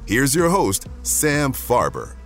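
A man's voice: medium pitch, huge pitch swings, slow pace, a bit bright. A man announcing a scripted show introduction. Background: music.

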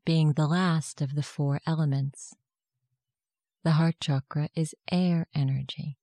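A meditation guide giving gentle, soft-spoken, measured instructions in a scripted guided meditation. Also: mid-range voice, fairly even intonation, no room sound.

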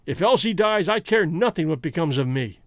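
A man's voice: a little high, lively intonation, moderate pace, dry recording. A man voicing a fierce, lovesick American criminal in an audiobook story, with a bitter, blustering manner. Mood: defiant despair.

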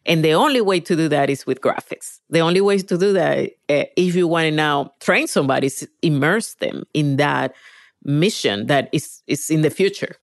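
A woman's voice: low-pitched, animated, normal speed, dry recording. Middle-aged Venezuelan woman, close dry sound. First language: Spanish. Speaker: interviewee, virtual reality engineer. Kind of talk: conversational interview answers.

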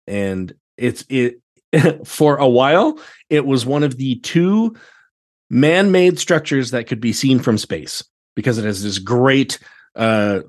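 An American man chatting casually as a podcast guest, telling anecdotes in an animated, exclamatory way. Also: medium pitch. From United States. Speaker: podcast guest, theatre director.

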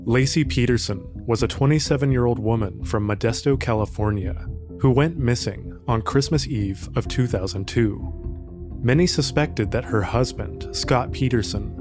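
A man reading a scripted case summary in a measured, even storytelling voice. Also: medium pitch. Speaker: podcast narrator. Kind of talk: scripted narration. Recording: music bed under voice. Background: music.

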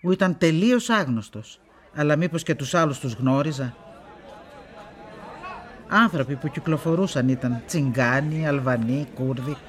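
A stern older woman who runs a farm, low-voiced for a woman. She answers in a curt, dismissive way, guarded and plain, in naturalistic radio-play style. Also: animated. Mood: guarded, matter-of-fact. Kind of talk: naturalistic radio drama acting.

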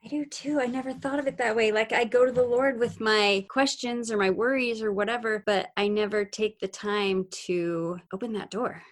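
A woman hosting a casual faith discussion, talking in an animated way and asking questions. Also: a little high, normal speed, few pauses.

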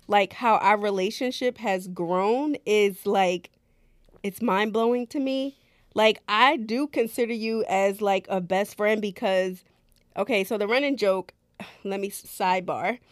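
A woman co-hosting a podcast in casual chat, lively and talkative, laughing often. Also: mid-range voice, dry recording, studio quality. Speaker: podcast co-host.

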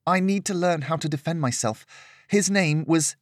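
Man voicing an eager young woman hero for a fantasy audiobook, high pleading voice, dry sound. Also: moderate pace, no room sound, lightly acted. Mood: earnest pleading. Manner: earnest, pleading. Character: young female adventurer, earnest, eager.

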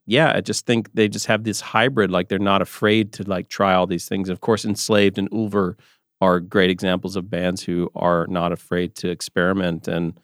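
A man's voice: deep voice, smooth, no echo, clean studio sound. A man co-hosting a music podcast, chatting casually and rambling with lots of filler words. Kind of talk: casual chat.

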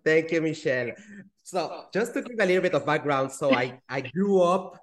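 A man's voice: on the high side, expressive, moderate pace. A man in his forties from Peru with a Spanish accent, a guest in an interview, speaking thoughtfully with many restarts and ums. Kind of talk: interview conversation.

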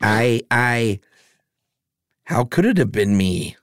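A man's voice: medium pitch, sing-song, slow pace, fairly bright tone, dry recording, studio quality, voice pushed up, exaggerated voice. A man voicing a timid, bewildered male jester dwarf, hesitant and dazed.

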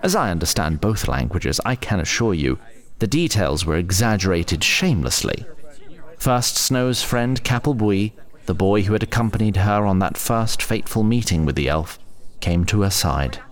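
Low, expressive male storyteller. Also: normal speed. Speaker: narrator. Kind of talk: scripted fantasy story narration.

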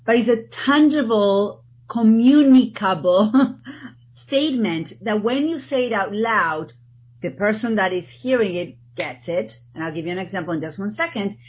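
A woman from Argentina with an Argentine accent, a guest in an interview, talking in an animated way and often restarting her sentences. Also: mid-range voice, moderate pace, breathy edge, highs cut off. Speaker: guest, TEDx organizer. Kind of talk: podcast interview.

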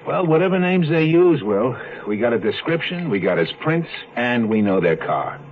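An actor playing a casual, blunt police detective sidekick with colloquial speech in a 1970s radio drama. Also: male voice, mid-range voice, very animated, normal speed, close to an everyday voice. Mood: curious, dogged. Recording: noisy, muffled 1970s radio recording.

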